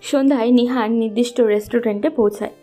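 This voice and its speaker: A woman with a fairly high, soft-toned voice narrates a scripted story. Light music plays behind her narration. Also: fairly even intonation, fast talker.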